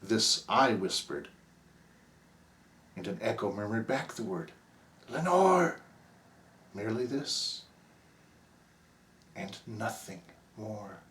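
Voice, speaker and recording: Slow, theatrical man reciting gothic poetry. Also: fairly low pitch. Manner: expressive storyteller, swinging from hushed near-whispers to loud agitated outbursts.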